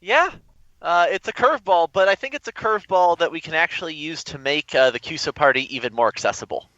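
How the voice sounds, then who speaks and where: A man's voice: on the high side, expressive, fast, bright, forceful tone, no room sound. A young adult man speaking as an interview guest, explaining things conversationally over dull call audio. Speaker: guest, event organizer.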